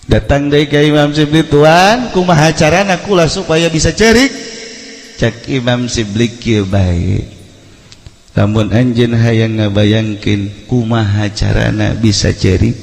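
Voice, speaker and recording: A man with a Sundanese accent preaching as a storyteller, humorous and theatrical, acting out dialogue and swinging between hushed and loud delivery. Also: mid-range voice, a little slow, creaky. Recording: echoey hall with long reverb.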